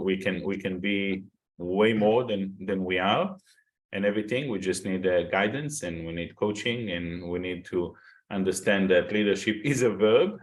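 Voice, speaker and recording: A man with an Israeli accent, working as a coach, introducing himself in a friendly, upbeat way in a round-table discussion. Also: deep voice. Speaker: business coach.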